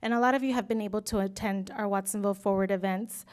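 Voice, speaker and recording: Young woman on city council, smooth even voice, measured academic phrasing with "sort of". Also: mid-range voice. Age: young adult. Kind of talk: public meeting remarks.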